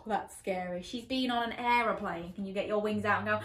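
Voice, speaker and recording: A woman in her thirties reading a picture book aloud to young children at bedtime, animated and expressive, with playful asides to her listeners. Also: mid-range voice, moderate pace, echoey room. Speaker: children's storyteller. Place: small bedroom, indoors, seated on a bed.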